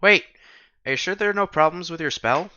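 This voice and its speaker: Male game streamer, bright forceful voice, animated dramatic read-aloud with casual asides, slightly muffled recording. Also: on the high side, a little fast. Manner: animated, acts out protagonist's lines. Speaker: streamer reading a visual novel aloud. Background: soft game music.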